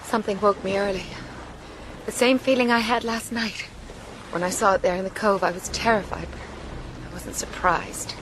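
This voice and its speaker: An actress playing an intuitive, steadfast castaway woman in a radio drama, with an earnest and measured delivery. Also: medium pitch, steady tone, background noise, natural voice. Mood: fearful.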